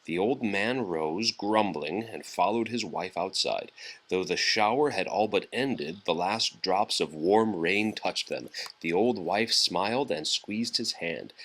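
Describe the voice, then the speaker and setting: A man with a fairly low voice reads a picture book aloud to a small child. He narrates the story in phrases suited to a young listener, as an adult reading at home would. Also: slow pace, fairly bright tone.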